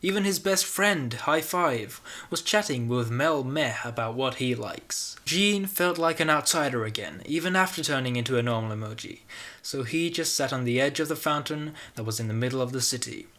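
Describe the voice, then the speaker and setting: Bright-voiced, sardonic man hosting online. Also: mid-range voice, expressive, normal speed. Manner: sardonic, casually sweary. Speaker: YouTube host. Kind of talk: comedic fanfiction reading with sarcastic commentary.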